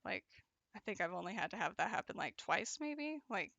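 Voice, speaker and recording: A woman's voice: mid-range voice, sing-song, moderate pace, gravelly, bright, forceful tone, dry recording, muffled recording. A young woman streaming her crochet work, chatting casually with viewers and laughing often. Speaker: craft streamer. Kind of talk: casual chat while crocheting and reading chat.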